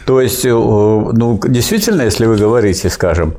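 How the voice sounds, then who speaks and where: A man's voice: lowish voice, moderate pace, dark tone. Older man lecturing, hesitant and didactic. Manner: didactic, questioning, with many fillers and restarts. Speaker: lecturer discussing philosophy. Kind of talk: unscripted academic discussion.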